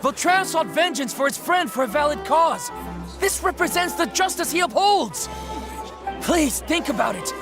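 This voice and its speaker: A man's voice: extremely high pitch, lively intonation, moderate pace. An actor voicing an earnest, gossipy townsman with a righteous streak in dramatic video game dialogue. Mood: righteous pleading. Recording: loud background music.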